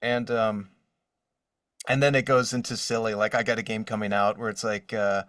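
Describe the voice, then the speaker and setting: A man's voice: fairly low pitch, little pitch movement, moderate pace, a bit bright, no echo, clean studio sound. Slightly distant male voice actor, chatting casually. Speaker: voice actor, interview guest. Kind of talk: casual podcast interview.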